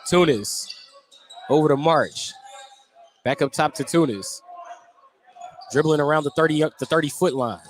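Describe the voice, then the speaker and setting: A man's voice: on the high side, unhurried, pauses often. A man doing live play-by-play commentary of a basketball game. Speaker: play-by-play announcer. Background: basketball gym ambience, bouncing balls.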